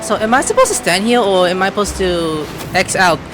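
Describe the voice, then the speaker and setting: A woman's voice: mid-range voice, animated, brisk. A woman giving casual playthrough commentary, reacting and talking to the game's characters. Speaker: gaming video host. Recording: game music behind the voice.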